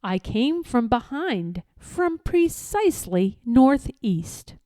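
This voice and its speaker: A woman reads a rhyming children's story in a mellow, softly toned voice. Her delivery is playful and emphatic, swinging widely up and down in pitch. The recording is close and dry. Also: big pitch swings, takes it slowly, dry recording. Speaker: storyteller reading aloud. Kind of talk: expressive read-aloud of a rhyming children's storybook.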